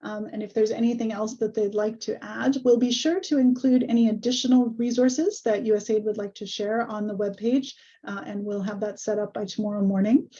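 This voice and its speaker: A woman hosting an online webinar over a video call, a little hoarse, friendly and full of ums. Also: medium pitch, fairly even intonation, fairly quick, few pauses, warm tone. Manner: friendly, many ums and uhs. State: slightly hoarse. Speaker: webinar host. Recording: muffled video call audio.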